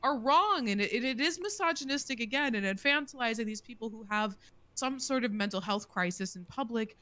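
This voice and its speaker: A woman's voice: a little high, expressive, a little fast, bright, forceful tone, no echo. A woman hosting a casual streamed panel chat, talking with passion and plenty of fillers as she makes her points. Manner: impassioned, rambling with fillers. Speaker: panel host.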